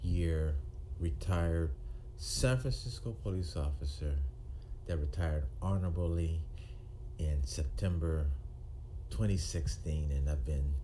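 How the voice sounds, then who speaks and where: Slow, deep-voiced man in his sixties. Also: warm tone, a little hiss or hum, low-quality recording. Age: 60s. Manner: halting, with frequent ums and restarts. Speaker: retired police officer turned video maker. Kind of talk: unscripted monologue to camera.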